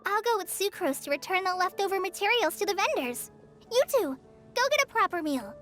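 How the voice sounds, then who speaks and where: An earnest young female companion from an anime game. The voice is very high, gentle and considerate, dutiful in tone, with soft music behind. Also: sing-song, brisk, full character voice. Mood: helpful, earnest.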